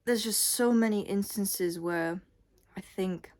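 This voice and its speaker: A woman's voice: medium pitch, takes it slowly, darkish tone. A young adult woman vlogger giving a casual, unscripted monologue in a rambling, blunt way with casual swearing. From Scotland and Thailand.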